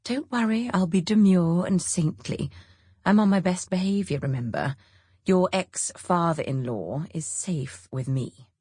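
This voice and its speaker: Woman voicing a dry, mischievous bohemian woman, low-key husky. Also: lively intonation, unhurried, warm tone, no echo. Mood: teasing, flirtatious. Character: witty, bohemian, mischievous woman friend, petulant and caustic. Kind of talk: audiobook character dialogue.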